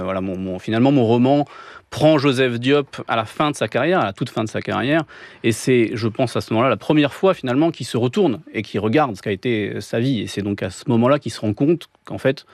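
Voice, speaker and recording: A man's voice: mid-range voice, brisk, no echo. A man in his thirties with a French accent from France, explaining his thoughts reflectively as a novelist being interviewed. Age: 30s. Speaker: guest, novelist. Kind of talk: interview conversation.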